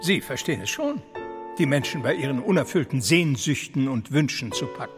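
An actor playing a resigned, reproachful failed artist in a radio drama, hesitant and bitterly polite. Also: male voice, medium pitch, lively intonation, fairly quick, close to an everyday voice. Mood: resigned, wry. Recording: long reverberant hall, music underneath.

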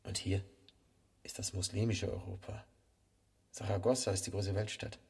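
An actor playing a calm, eerie devil who guides and lectures in a measured way in a radio play. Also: male voice, on the low side. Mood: solemn, explanatory. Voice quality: husky, gravelly. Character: devil, calm eerie male guide through unrealized worlds. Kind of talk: German radio play acting.

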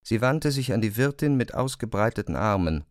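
A man's voice: fairly low pitch, animated, a little fast. A man narrating literary fiction in a scripted audiobook style.

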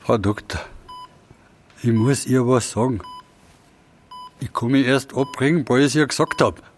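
An actor playing an older, weakened but insistent hospital patient in a radio drama. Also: male voice, mid-range voice, unhurried, a little hiss or hum, natural voice. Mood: urgent, grave.

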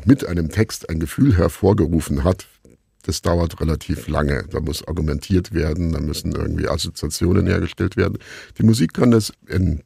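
A man's voice: deep voice, gravelly, dark tone, breathy edge, no echo, clean studio sound. A man being interviewed as a radio play maker, thinking aloud in a reflective, discursive way.

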